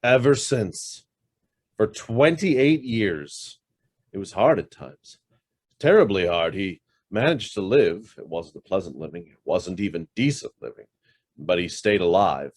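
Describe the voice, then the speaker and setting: A man playing a guarded, brusque middle-aged head of an investment firm with a guilty past in a radio drama. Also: deep voice. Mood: bitter, reflective. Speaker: actor.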